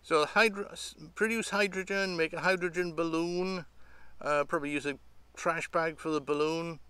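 A man in his fifties with a slightly high voice for a man. He talks off the cuff to a camera outdoors, in a halting, rambling way. He sounds like a hobbyist thinking through things aloud. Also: fairly even intonation, bright, forceful tone, clean tone, no room sound. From Great Britain. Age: 50s. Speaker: hobbyist vlogger. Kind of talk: casual unscripted talk to camera. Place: outdoors among trees.

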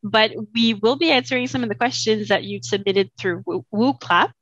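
A woman in her thirties co-hosting a bilingual webinar over a video call, upbeat and quick to laugh, moving between English and French. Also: mid-range voice, fairly bright tone, no echo. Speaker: webinar co-host. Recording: dull, dry video call audio.

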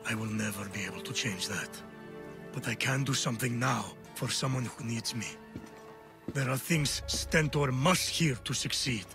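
An actor playing a middle-aged Greek warrior and father in a video game, grave, measured and earnest, full of regret. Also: mid-range voice, over music, lightly acted. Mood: regretful. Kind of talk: dramatic video game cutscene acting.

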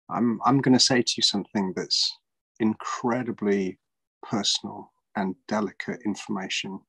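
A man's voice: lowish voice, fairly bright tone, husky, no room sound, low-quality recording. A middle-aged man hosting a podcast over a video call, speaking thoughtfully with many fillers and restarts. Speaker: podcast host. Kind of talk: casual interview chat. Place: small room at home.

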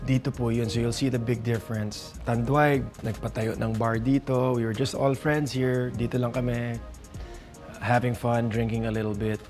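A man's voice: mid-range voice, level tone, moderate pace, darkish tone, soft background music. A man in his forties with a Filipino English accent, giving a casual, chatty house tour and laughing often, recorded on a handheld camera in a large house. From Philippines. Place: large modern house, indoors and on a roof deck. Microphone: handheld camera following him.

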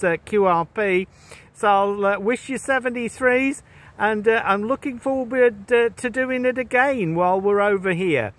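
A man working as an amateur radio operator, making a radio contact outdoors in a halting, repetitive way. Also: high voice, fairly bright tone. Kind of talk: ham radio contact chat. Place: outdoors on a rocky seashore.

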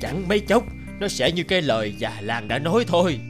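A man voicing a solemn old male village elder in a cartoon, speaking ceremonially over loud music. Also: a little high, big pitch swings, brisk. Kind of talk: cartoon voice acting.

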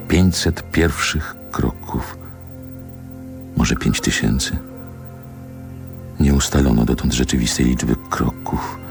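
An actor playing a reflective man who recalls his childhood in a wistful, lyrical radio drama monologue, in measured, deliberate phrases with pauses. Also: rumbling low, creaky, full character voice.

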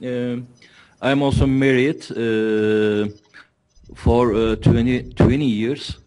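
A man in his forties from Turkey introduces himself on a video call, slow and hesitant with many pauses. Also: mid-range voice, steady tone, background noise. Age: 40s. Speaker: caller.

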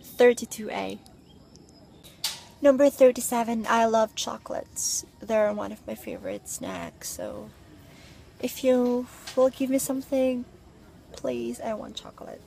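Slow, gravelly-voiced young woman. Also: fairly high pitch, very animated, a little mellow, slight background noise. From Philippines. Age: young adult. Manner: playful, giggly, teasing asides. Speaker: vlogger. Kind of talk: casual chat listing facts about herself. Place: outdoors among trees. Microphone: phone at arm's length with earphones.

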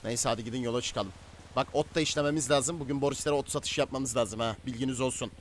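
Bossy, excitable male gamer, quick delivery, noisy recording, casual roleplay banter. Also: medium pitch. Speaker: gaming video host and gang boss in roleplay. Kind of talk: casual in-game roleplay chat.

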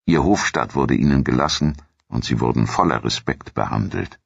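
Extremely deep, gravelly male narrator. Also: lively intonation, moderate pace, dry recording, lo-fi sound. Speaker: documentary narrator. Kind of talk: scripted history narration.

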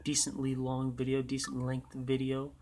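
A young man with a hoarse voice he is losing, rambling in a tired, casual way as he talks over a racing game. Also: mid-range voice, monotone, moderate pace, a little mellow, a little hiss or hum. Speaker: gaming channel host.

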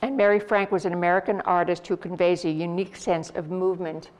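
A woman hosting an art salon, giving a measured, formal introduction of the evening's speaker. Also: on the low side, fairly even intonation, darkish tone. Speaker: host of art salon talks.

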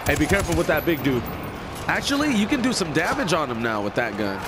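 A man with a stuffy nose, excitably joking and teasing while watching a friend play a shooter game. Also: fairly high pitch, fast talker, very noisy. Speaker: gaming co-commentator. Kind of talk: casual gameplay banter. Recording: game sounds loud behind.

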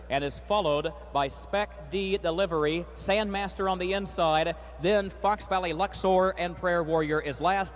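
A man announcing and calling a harness race at a state fair, his voice climbing and getting loud down the stretch, then interviewing a trainer. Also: sits high. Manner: rapid, rising to shouts at the finish. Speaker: track announcer. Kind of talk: harness race call and winner's circle interview.

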